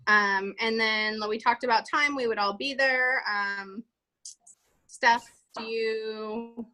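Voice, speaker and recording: Bright-voiced woman running a meeting. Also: on the high side, steady tone, slow pace, low-quality recording. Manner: chatty, lists items, frequent fillers. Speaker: event organizer leading a meeting. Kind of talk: casual planning meeting.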